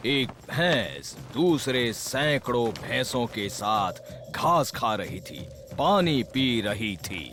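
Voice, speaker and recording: Village wizard, commanding, solemn, teacherly, grand delivery, cartoon dub, music behind. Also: male voice, mid-range voice, sing-song, takes it slowly. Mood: solemn, instructive. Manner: grand, instructive, addresses others as children.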